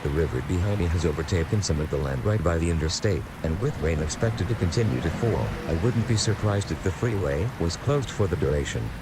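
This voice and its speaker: A man playing a serious television news correspondent giving a measured live field report. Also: low voice, lively intonation. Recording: loud outdoor traffic noise behind voice.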